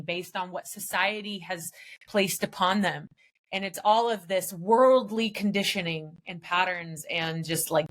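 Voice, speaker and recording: A woman guest in a podcast interview, speaking emphatically and casually about mindset, with an occasional light swear. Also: medium pitch. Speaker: guest, business founder. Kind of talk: motivational interview talk.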